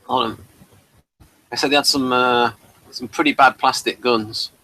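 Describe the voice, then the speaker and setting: A man's voice: mid-range voice, fairly even intonation, unhurried, pushed and bright, poor audio. A man in casual discussion, hesitant and searching for his words as he goes. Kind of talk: casual chat about old television.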